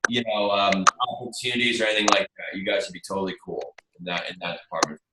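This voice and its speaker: A man's voice: low voice, steady tone, fast. A man hosting an online team meeting as a marketing presenter, with a chatty, rambling, informal sales delivery. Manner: chatty, rambling, informal, addresses listeners as you guys. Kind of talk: casual sales pitch on a group video call. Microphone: video call. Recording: roomy echo, faint noise, clicks.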